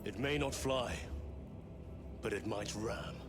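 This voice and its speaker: Low-voiced earnest space marine. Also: male voice, slow, music in the background. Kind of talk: cinematic video game acting.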